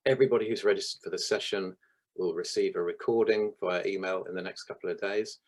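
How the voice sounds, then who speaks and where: A man with a very low, deep voice hosts an online panel. He is hoarse and croaky from losing his voice, and his talk is full of ums and small restarts. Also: steady tone, fast. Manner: hesitant, with frequent ums. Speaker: webinar host. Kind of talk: hosting a video-call panel. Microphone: video call.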